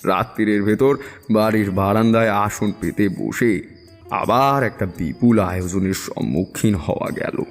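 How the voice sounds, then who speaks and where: A male actor playing a seasoned tantric, narrating an eerie story in a measured, confiding way. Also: lowish voice, in a large hall. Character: seasoned male tantric recounting his eerie past adventures. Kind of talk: dramatic first-person horror storytelling.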